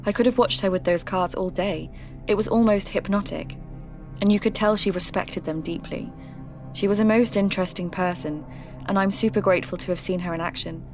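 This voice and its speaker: An actress playing an earnest, curious podcast host, narrating a folklore investigation in a reflective, confiding way. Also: female voice, mid-range voice, fairly quick, a little mellow, soft background music, close to an everyday voice.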